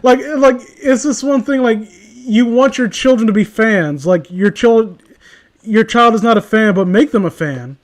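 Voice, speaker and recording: A man's voice: sits high, measured pace. A man in a casual conversation, rambling through exasperated, opinionated rants with plenty of swearing. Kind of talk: casual ranting chat.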